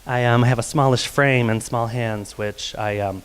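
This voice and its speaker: A man from Texas with a soft, feminine-sounding voice, telling a personal story on stage in a confessional, wry way with light laughs. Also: medium pitch, fairly even intonation, a little slow, clear, resonant tone. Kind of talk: live personal storytelling monologue. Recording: long reverb of a performance hall.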